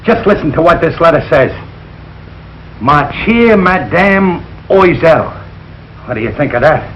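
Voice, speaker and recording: A blustering, suspicious working-class dad in an old radio sitcom. His fairly high voice swings widely as he makes emphatic exclamations. The dull recording has clear noise over it. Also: lively intonation, measured pace, fairly bright tone. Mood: indignant. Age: middle-aged. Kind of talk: 1940s radio situation comedy acting.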